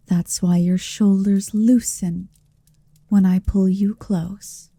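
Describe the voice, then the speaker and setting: A woman voicing a possessive, seductive motherly dragon in an intimate ASMR roleplay, breathy and whispery, speaking slowly and deliberately close to the microphone. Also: on the low side, dark tone, no echo, heavily acted. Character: possessive, seductive motherly female dragon hoarding her captive. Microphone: close microphone, dry.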